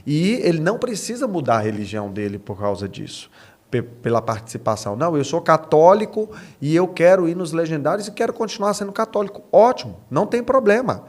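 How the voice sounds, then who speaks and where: A man's voice: on the high side, very animated, fairly quick, darkish tone. A man with a Brazilian accent, a podcast guest explaining conversationally in an assured, emphatic way. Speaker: podcast guest, men's movement member.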